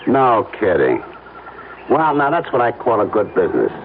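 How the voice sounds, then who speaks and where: An actor with an American accent playing a sleazy, falsely friendly blackmailing photographer in slangy, wheedling radio drama style. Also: male voice, fairly low pitch, sing-song, normal speed. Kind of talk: old-time radio drama acting. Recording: tinny, noisy old radio recording.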